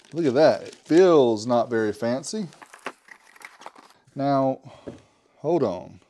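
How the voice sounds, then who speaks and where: A man's voice: medium pitch, dark tone, slight background noise. A man in his forties casually unboxing and reviewing a product as host, chatty and joking, talking to himself now and then. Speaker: host reviewing car audio gear.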